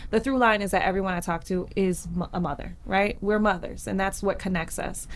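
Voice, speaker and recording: A woman's voice: mid-range voice, fairly even intonation, a little fast. Encouraging woman hosting a podcast. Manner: encouraging, frequent affirmations. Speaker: podcast host. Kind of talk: casual interview chat.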